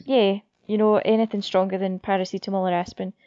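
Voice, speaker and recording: A woman's voice: medium pitch, level tone, quick pace, mellow, no echo, dull audio, lightly acted. Actress as a brash, sardonic young woman, dry sound. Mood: breezy, cheeky. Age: late twenties. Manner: cheeky, foul-mouthed. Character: brash, sardonic hard-drinking woman of nearly thirty. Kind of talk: horror mystery radio drama acting.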